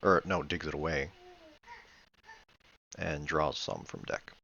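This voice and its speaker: A man with a low voice talks through a replay of a board game in a casual, matter-of-fact manner. He thinks aloud with hesitant pauses, and his voice is gravelly with plenty of fry. The audio is slightly dull and lo-fi. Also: steady tone, sharp tone. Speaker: board game player reviewing own replay.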